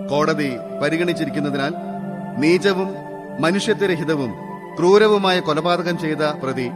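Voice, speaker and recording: A man's voice: on the high side, lightly acted. A man acting a stern, solemn judge in a radio drama, declaiming formally and emphatically. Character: stern, solemn male judge pronouncing sentence.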